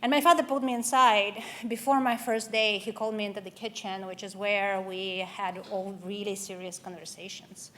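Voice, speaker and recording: A woman's voice: medium pitch, fairly even intonation, moderate pace, few pauses, a bit bright. A woman from Russia giving a personal, earnest conference keynote, with frequent ums and restarts. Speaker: keynote speaker. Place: event hall.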